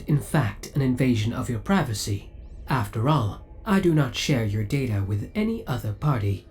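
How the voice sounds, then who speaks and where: Spaceship AI , played by a man: formal, courteous, politely obsessive, with animated pitch swings, for an ASMR roleplay. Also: lightly acted. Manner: formal, courteous, precise servant-like delivery. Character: devoted, possessive male spaceship AI, politely obsessive.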